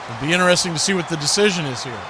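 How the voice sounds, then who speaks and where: A man with a fairly high voice gives live radio play-by-play of a football game. He works as the announcer, describing the action as it happens. Clear background noise sits behind his voice. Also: animated, rapid-fire.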